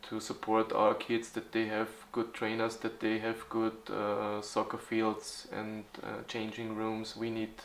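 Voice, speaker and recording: A man in his forties from Austria, answering interview questions haltingly, with many fillers and restarts. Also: lowish voice, monotone, vocal fry, echoey room, a little hiss or hum. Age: 40s. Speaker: youth football club organizer.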